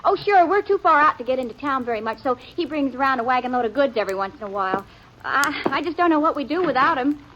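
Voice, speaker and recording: High-voiced shy frontier tomboy. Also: female voice, lively intonation, quick pace, fairly bright tone. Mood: earnest, hopeful. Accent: American. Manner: folksy, hesitant, deferential. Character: shy, polite frontier teenage tomboy girl. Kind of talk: 1950s American radio western acting. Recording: muffled old radio recording, faint hiss.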